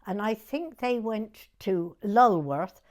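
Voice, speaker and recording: A woman in her nineties from Dorset with a southern English accent, reminiscing in an interview in a hesitant, halting way and repeating words. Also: mid-range voice, expressive, a little mellow. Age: 90s. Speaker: oral history interviewee.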